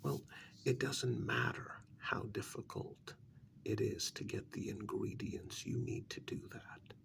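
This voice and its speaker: A man speaking softly in an ASMR role-play, half whispering, with a breathy, creaky voice and a deliberate, halting delivery in short phrases. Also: on the low side.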